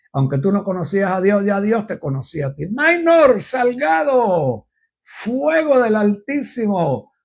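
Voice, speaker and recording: A man's voice: high-pitched, gravelly, a little mellow, husky. An older man from Cuba, preaching informally on a live program, rambling through his testimony and repeating refrains.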